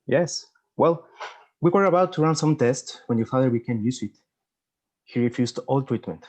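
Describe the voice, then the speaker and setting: A man playing a serious forty-five-year-old doctor, matter-of-fact and measured, at a screenplay table read. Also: medium pitch, a little mellow, close to an everyday voice.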